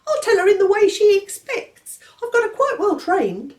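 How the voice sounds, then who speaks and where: A woman's voice: very high voice, very animated, moderate pace, darkish tone, recorded from a distance, voice pushed up. A woman voicing a stern, precocious talking baby boy who lectures his sister. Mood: bossy. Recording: roomy echo.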